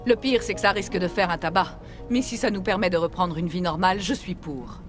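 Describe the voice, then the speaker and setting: A woman's voice: lowish voice, fairly quick, music in the background, close to an everyday voice. An actress playing a principled middle-aged mother and colony scientist in a game dub, firm and composed. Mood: reasoned, grateful. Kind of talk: dramatic French game dub acting.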